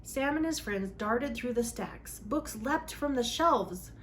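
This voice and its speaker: A woman's voice: a little high, animated, takes it slowly, fairly bright tone, slight background noise. A woman hosting a children's read-aloud, reading a storybook expressively with dramatic emphasis.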